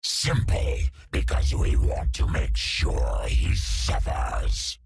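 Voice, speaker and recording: A gravelly grim vigilante. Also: male voice, very deep voice, big pitch swings, slow pace, a little mellow, raised voice, in a large hall, full character voice. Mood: gruff. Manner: gruff, contemptuous. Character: grim, bitter vigilante soldier man.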